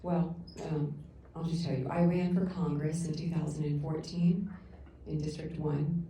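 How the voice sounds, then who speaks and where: A middle-aged woman giving an earnest, halting public comment at a council meeting, heard through the room's sound system from afar. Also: low-pitched, little pitch movement, slight background noise. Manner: loud voice. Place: council chamber. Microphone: room sound system, picked up from afar. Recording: echoey council chamber from far off.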